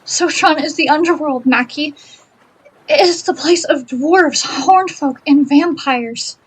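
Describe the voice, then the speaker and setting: A woman plays a learned female privateer in a fantasy audio drama. Her voice is high, and she explains things earnestly and precisely, like someone giving a lecture. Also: takes it slowly, poor audio. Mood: instructive.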